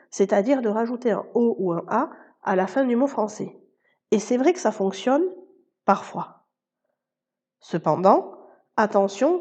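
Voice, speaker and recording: A woman hosting a language-learning podcast, explaining pronunciation and vocabulary clearly and speaking straight to the listener. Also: on the high side, dark tone, lo-fi sound. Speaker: Spanish teacher and podcast host. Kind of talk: scripted podcast lesson.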